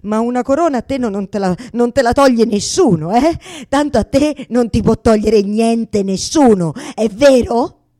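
An actress playing a bitter, self-mocking woman who argues with God in a halting, repetitive theatrical monologue. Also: on the high side, mellow, natural voice. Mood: accusing, loud. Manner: repetitive, halting, confessional, swinging from whispers to outbursts. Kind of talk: theatrical monologue, radio drama.

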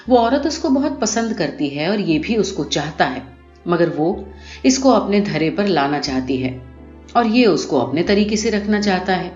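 A woman reading a short story aloud in an expressive, scripted narration style. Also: on the low side. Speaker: audiobook narrator. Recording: muffled, roomy recording with music behind. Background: music.

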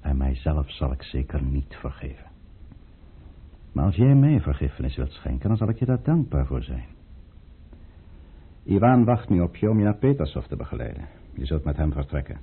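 An actor playing a coolly calculating count and conspirator in a radio drama, speaking formally and deliberately with authority. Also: male voice, rumbling low, big pitch swings, husky, heavily acted. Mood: resigned. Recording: muffled old radio play recording.

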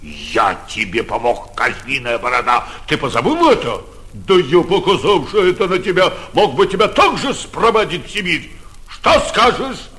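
An actor in a 1940s radio play, playing a gloating, vindictive town mayor with pompous, sarcastic, theatrical delivery. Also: male voice, fairly high pitch, very animated, normal speed, sharp tone. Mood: furious, scornful.

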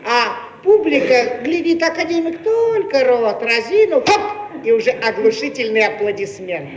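An actor playing a brash, jovial, practical young comrade with a folksy, teasing manner in a radio play. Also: male voice, extremely high pitch, very animated, normal speed, lo-fi sound. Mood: eager, mocking. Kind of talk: Soviet radio play acting. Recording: echoey, faintly noisy archive radio recording.

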